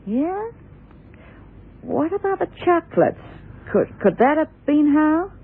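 An actress playing a blunt, hard-bitten theatre dresser in a 1970s radio crime drama, talking candidly and colloquially. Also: female voice, high-pitched, very animated, takes it slowly, full character voice. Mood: defensive. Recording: tinny, band-limited old radio recording.

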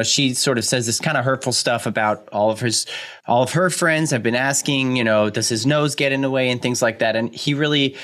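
A man's voice: medium pitch, a bit bright. A man in his late thirties co-hosting a podcast, recapping a film plot in a laid-back way full of fillers. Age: 30s. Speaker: podcast co-host.

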